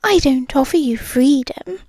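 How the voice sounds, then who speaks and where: A woman with a British accent voicing a possessive, obsessive rich young girlfriend. She speaks in a soft, breathy whisper, slow, intimate and menacingly sweet, close to the microphone. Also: high-pitched, no echo. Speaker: ASMR roleplay performer. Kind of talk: ASMR roleplay.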